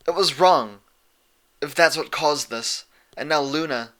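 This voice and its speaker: Man voicing an anxious, bright-voiced bookish pony girl. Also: on the high side, measured pace, pitched above the performer's own voice. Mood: anxious, guilty. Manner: precise, hesitant, apologetic. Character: earnest, anxious, bookish young female pony student. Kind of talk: fan fiction audiobook character voice.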